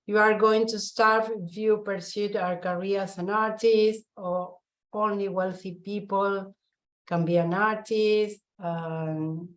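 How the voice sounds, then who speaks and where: A woman from Argentina with an Argentine Spanish accent, a visual artist giving a halting presentation on a video call, searching for her words in English. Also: medium pitch, low-quality recording. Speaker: guest speaker, visual artist. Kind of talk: online summit presentation.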